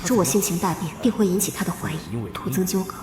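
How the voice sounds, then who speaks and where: A woman playing a young noblewoman reborn for revenge, narrating solemnly and with measured drama. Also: medium pitch, crowd noise, close to an everyday voice. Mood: wistful, measured. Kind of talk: dramatic first-person narration for an animated period drama. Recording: echoey long reverb over loud music.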